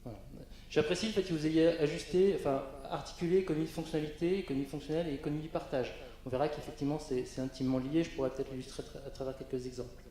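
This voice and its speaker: A man's voice: on the high side, steady tone, very fast, mellow. A man presenting on a conference panel, hesitant, with frequent fillers and restarts. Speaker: panel speaker. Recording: very echoey conference hall.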